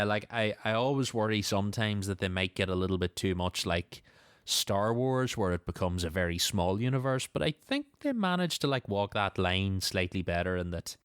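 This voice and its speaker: A man's voice: sits low, smooth, dry recording, clean studio sound. A man hosting a podcast in a casual, conversational style. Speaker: podcast host.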